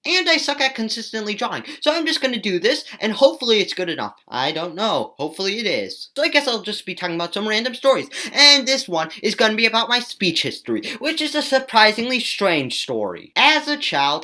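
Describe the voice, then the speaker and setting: Lively, bright-voiced woman telling stories. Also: mid-range voice. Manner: self-mocking, rambling, exclamatory asides. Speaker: video storyteller, host. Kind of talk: casual self-deprecating storytime narration.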